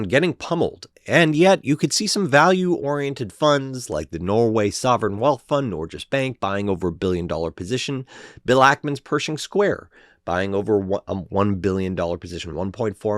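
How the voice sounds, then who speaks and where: A man with a fairly low voice, slightly congested from a head cold, walks through a company's numbers as an investing host. His delivery is emphatic and animated, swinging widely in pitch. The sound is dry and close, with no room echo. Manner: analytical, emphatic. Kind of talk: stock analysis commentary.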